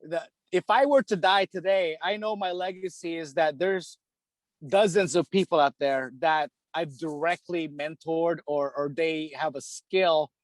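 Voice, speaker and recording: Guest, male, from the Philippines, slightly high voice, loose rambling talker, dry close mic. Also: measured pace, no room sound. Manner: rambling, many fillers like "dude" and "you know". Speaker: guest, hockey equipment repair shop owner. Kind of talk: casual podcast chat.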